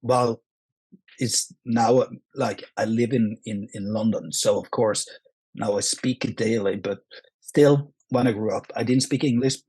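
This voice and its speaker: A man with a Norwegian accent, speaking English as a second language, answering interview questions in a halting way full of restarts and fillers. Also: lowish voice, steady tone, a little mellow, slightly rough, no room sound, poor audio. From Norway. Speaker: actor, guest. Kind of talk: casual interview.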